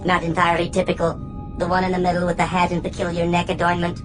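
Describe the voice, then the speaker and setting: An actress playing a cold, clinical alien scientist in a radio drama, speaking in a detached, formal way. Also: female voice, lowish voice, quick pace, over music, lightly acted. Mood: detached, curious. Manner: detached, formal, clipped monotone.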